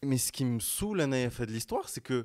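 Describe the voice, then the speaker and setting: Fast-talking indignant man. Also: medium pitch, very animated, darkish tone. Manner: fast, indignant, slangy, mixes in Arabic words. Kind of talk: casual chat.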